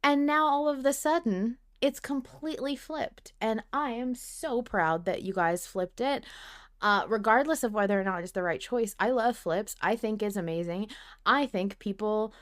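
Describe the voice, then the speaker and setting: A woman hosting a podcast, chatting casually and emphatically, with informal, animated delivery. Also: on the high side, moderate pace. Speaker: podcast host. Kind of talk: casual fan podcast chat.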